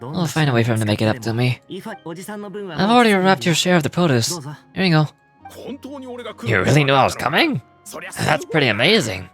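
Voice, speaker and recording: An actor playing an easygoing, earnest young farmer in a video game, talking in a casual, conversational way. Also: male voice, a little high, very animated, normal speed, long pauses, over music. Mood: gentle, friendly.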